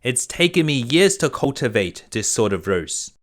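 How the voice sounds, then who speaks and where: A huge, fearsome male beast from a storybook, as a man reading aloud plays him. He is loud and threatening, stretching his words out with menace. The pitch moves up and down widely. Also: big pitch swings, lightly acted. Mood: angry, threatening. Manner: loud, slow, menacing delivery. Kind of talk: children's fairy tale storybook reading.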